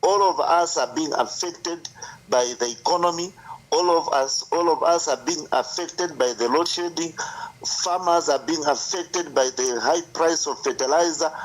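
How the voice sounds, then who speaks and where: A man with a husky, slightly breathy voice at an ordinary pitch. He speaks with passion, appealing directly to his listeners. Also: rough recording. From Zambia. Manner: impassioned, appealing.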